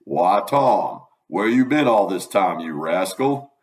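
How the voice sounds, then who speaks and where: Man doing a gruff old farmer, low drawl, scolding. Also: animated, slow.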